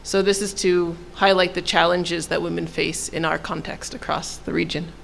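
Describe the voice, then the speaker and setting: A woman giving a live consecutive translation at a panel, summarizing in a measured way. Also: on the low side, flat delivery, gravelly, slight background noise. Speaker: interpreter.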